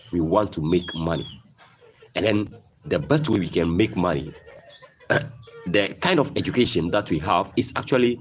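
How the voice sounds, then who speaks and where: A Ghanaian man with a very deep voice speaks emphatically, repeating his points and asking "okay?" as he pushes his listeners. His pitch rises and falls in lively swings. Faint outdoor noise and chickens can be heard behind him. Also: low-quality recording. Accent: Ghanaian English. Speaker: poultry farmer. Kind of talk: motivational farming talk.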